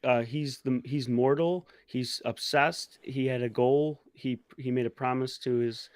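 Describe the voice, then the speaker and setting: A man co-hosting a casual chat, offering measured counterpoints in conversation. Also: mid-range voice, fairly even intonation, darkish tone, no echo. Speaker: co-host of a pop culture discussion show.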